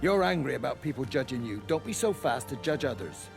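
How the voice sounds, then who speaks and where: An actor playing a gruff, plain-spoken middle-aged countryman in a video game drama, blunt and colloquial. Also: mid-range voice, sing-song, fairly quick. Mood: stern, fatherly. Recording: music under the voice.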